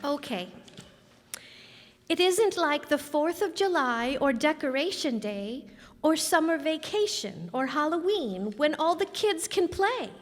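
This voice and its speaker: A woman acting as a nine-year-old schoolgirl with a high, lively voice. She is earnest and dutiful, speaking in a singsong old radio sitcom style. The large hall adds long reverb. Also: measured pace. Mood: meek, uncertain. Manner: singsong, literal-minded reciting. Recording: echoey hall, live stage performance.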